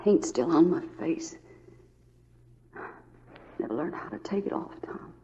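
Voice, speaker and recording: A woman's voice: fairly low pitch, expressive, measured pace, mellow, a little hiss or hum. An actress playing a brassy, bold saloon woman with a tender heart, delivered with the drama of a 1940s radio western. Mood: dying, tender. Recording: dull old radio recording.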